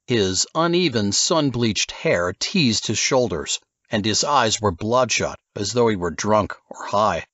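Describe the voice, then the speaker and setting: Male narrator for fiction: lowish voice, very lively intonation, dry and slightly dull recording. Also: unhurried, few pauses, no room sound. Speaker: audiobook narrator.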